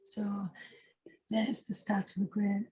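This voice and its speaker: A British woman with a husky voice chatting casually as a singer being interviewed, informal and emphatic, often repeating herself for stress. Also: medium pitch, level tone, measured pace, warm tone. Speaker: singer-songwriter guest.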